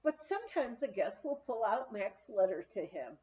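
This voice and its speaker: A woman reading an anecdotal memoir aloud as an expressive storyteller. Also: medium pitch, moderate pace, lo-fi sound. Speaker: audiobook reader.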